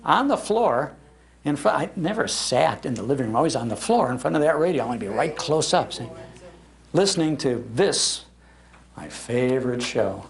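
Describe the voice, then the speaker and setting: An older American man with a Midwestern accent tells nostalgic stories to a live audience in a folksy, animated manner, repeating phrases for emphasis. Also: medium pitch, normal speed, creaky. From Chicago, United States. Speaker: speaker giving a talk on old-time radio. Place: public library, live talk.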